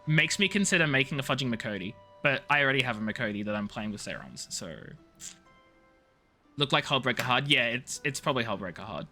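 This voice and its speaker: Male game streamer, animated and swooping delivery, playful teasing banter, crisp-edged bright tone. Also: mid-range voice, fast talker, soft background music. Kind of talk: casual live-stream chat with viewers.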